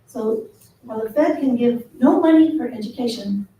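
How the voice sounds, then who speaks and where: A woman with a small, soft voice giving public comment at a council meeting, earnest and measured with frequent ums. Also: fairly high pitch, expressive, lo-fi sound. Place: city council chamber. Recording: echoey council chamber, distant mic.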